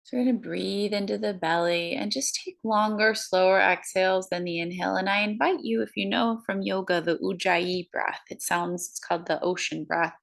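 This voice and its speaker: A woman guiding a breathing meditation, counting slowly in a soft, soothing way. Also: mid-range voice, fairly even intonation, vocal fry. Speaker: meditation guide.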